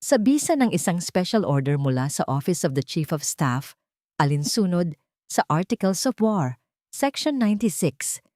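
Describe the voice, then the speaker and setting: A Filipino woman voicing a stern old male general, calm, formal and measured, in a story. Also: sits low, dry recording, clean studio sound.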